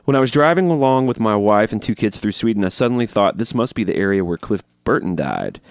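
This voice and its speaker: A man co-hosts a podcast, reading listener emails aloud and chatting eagerly. He talks fast and jumps in over his co-host. His voice sits a little low and sounds dry and close. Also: very animated, clear, resonant tone, no room sound.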